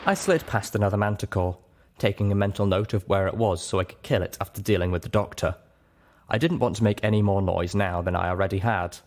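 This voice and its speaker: Low-voiced man narrating a story. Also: fairly even intonation, a little fast. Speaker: audiobook narrator. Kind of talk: scripted first-person story narration.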